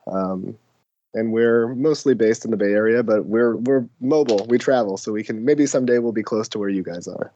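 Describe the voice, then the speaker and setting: A hoarse man chatting as a podcast guest, joking in a dry, self-deprecating way. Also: fairly low pitch, a little fast, dark tone, lo-fi sound. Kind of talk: casual podcast chat.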